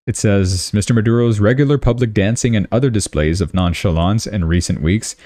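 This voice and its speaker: A man's voice: on the low side, fairly quick, warm tone, no room sound. A young man from Canada hosting a live stream, talking through world news casually and reading official statements aloud, with asides and self-corrections.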